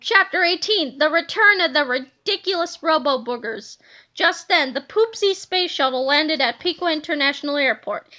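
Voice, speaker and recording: A woman narrating a children's storybook aloud in an expressive, scripted reading style. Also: high voice, normal speed.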